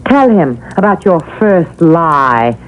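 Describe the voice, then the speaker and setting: A woman's voice: lowish voice, very animated, slow pace. An actress playing a reproachful, theatrical female ghost of an eighteenth-century woman in a 1970s radio drama. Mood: accusing. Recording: muffled, noisy old radio broadcast.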